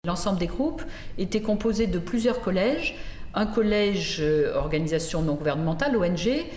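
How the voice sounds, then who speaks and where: A woman's voice: lowish voice, lively intonation, moderate pace, darkish tone, faint music behind. A woman giving a spoken explanation as an interviewee. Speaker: interviewee explaining working groups. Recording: echoey room, faint noise.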